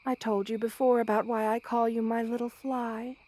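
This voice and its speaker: A high-pitched, tender spider queen, voiced by a woman, ancient and motherly. She speaks in measured, formal phrases meant to soothe. The delivery is intimate ASMR roleplay, addressed straight to the listener. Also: level tone, mellow, close to an everyday voice. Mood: tender, reflective. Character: motherly, gentle two-hundred-year-old spider queen monster woman.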